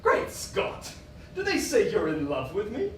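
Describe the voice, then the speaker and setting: A woman's voice: mid-range voice, huge pitch swings, unhurried. Woman playing a flustered friend, wildly swooping voice. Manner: exclamatory, theatrical. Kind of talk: stage acting in a one-act play. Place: theatre stage. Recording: echoey, distant, noisy theatre stage recording.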